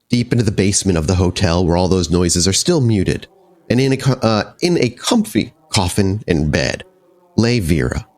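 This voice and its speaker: A man narrating a tabletop roleplaying game as storyteller and host, in a theatrical, scene-setting way. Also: low-pitched. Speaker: game master and podcast host.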